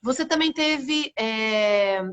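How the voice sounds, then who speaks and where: A woman's voice: fairly high pitch, takes it slowly, fairly bright tone, clear, resonant tone. A Brazilian woman hosting a live interview over a video call, hesitant and searching for words as she asks questions. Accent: Brazilian Portuguese. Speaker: live-stream host. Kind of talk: casual live-stream interview.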